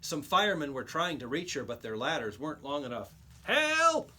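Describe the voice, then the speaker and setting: Animated man reading a children's story. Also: mid-range voice, a little fast, breathy edge. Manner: expressive, emphatic storytelling. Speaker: read-aloud teacher. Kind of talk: expressive children's storybook narration.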